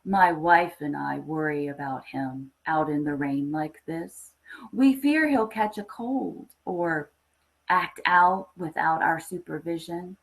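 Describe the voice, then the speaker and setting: Woman voicing a halting, fussy elderly man. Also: low-pitched, creaky, full character voice, rough recording. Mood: earnest worry. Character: soft-spoken elderly man, secretive, fussy pet owner.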